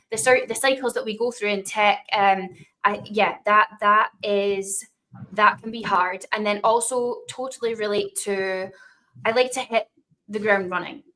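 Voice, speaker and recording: A woman's voice: mid-range voice, a bit bright, rough recording. A woman hosting a podcast interview, chatting casually and checking in with "right?" as she goes. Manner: friendly, frequent "yeah" and "right?". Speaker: podcast host.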